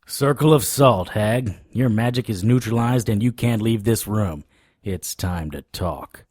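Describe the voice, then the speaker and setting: An actor playing a wry, hard-boiled occult investigator, narrating and speaking in a scripted audio drama. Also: male voice, lowish voice, measured pace, a little mellow, no echo, studio quality. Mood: cocky, taunting. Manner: wry, sardonic.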